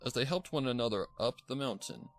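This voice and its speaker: A man's voice: medium pitch, fairly quick, soft background music. A man reading a scripted story aloud as its narrator. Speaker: fan fiction narrator.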